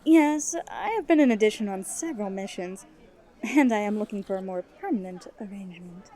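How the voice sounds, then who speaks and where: A woman playing a confident, quick-witted mercenary in a fantasy audio drama, polite with a sardonic streak. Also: medium pitch, animated, dark tone, close to an everyday voice. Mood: composed.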